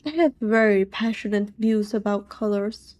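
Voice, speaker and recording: A young British woman with a soft voice, chatting casually on a livestream with languid, drawling complaints. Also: medium pitch, moderate pace. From Britain. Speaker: streamer.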